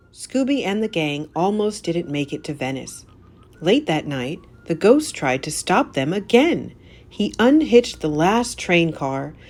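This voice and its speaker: A woman narrating a children's storybook aloud in an animated, scripted read-aloud style. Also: fairly low pitch.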